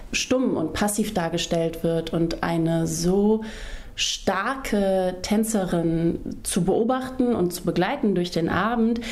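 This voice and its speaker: A female singer talks about her stage role with fervent, articulate phrasing. Her speaking voice sits somewhat low for a woman. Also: darkish tone. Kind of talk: interview answer.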